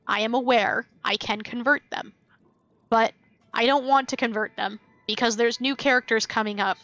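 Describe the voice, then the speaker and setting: A woman's voice: fairly high pitch, fairly bright tone. A woman livestreaming a game, chatting casually and cutely to her viewers as she plays. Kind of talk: casual livestream chat while playing.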